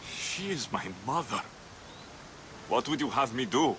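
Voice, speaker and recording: A man's voice: mid-range voice, expressive, unhurried, background noise, lightly acted. A man playing a headstrong young Greek warrior in a video game, blunt, intense and protective of his family. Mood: desperate. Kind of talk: video game cinematic acting.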